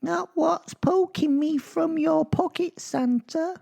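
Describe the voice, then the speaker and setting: A performer voicing a cheeky little boy with a coy, slow delivery in an audio drama. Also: very high-pitched, gravelly, dark tone, voice pushed up, heavily acted. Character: cheeky innocent-sounding little boy on Santa's lap.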